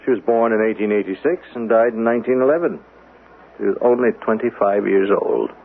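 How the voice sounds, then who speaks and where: A man's voice: lowish voice, brisk, gravelly. An actor playing an obliging, chatty parish clergyman in a radio drama, hesitant and repeating words in eager bursts. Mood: eager, curious. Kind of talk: old-fashioned radio drama acting. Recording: muffled narrow-band radio broadcast.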